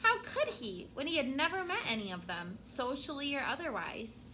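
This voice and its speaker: A woman teacher reads a picture book aloud to her class in an expressive voice, pausing to prompt the children. Her tone is bright and sharp, pitched a little high. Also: a little hiss or hum.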